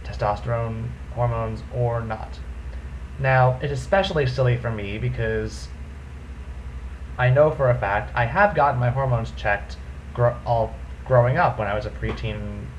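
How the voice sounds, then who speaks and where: A young man with a deep voice hosting a casual vlog, talking animatedly and swinging his pitch up and down for emphasis. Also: unhurried, muffled recording, slight background noise. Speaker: vlogger host.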